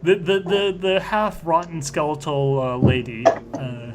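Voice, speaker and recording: A man's voice: a little high, fairly even intonation, a little slow, very noisy. A man hosting and playing a tabletop roleplaying game, chatting casually in a rambling, stammering, jokey way.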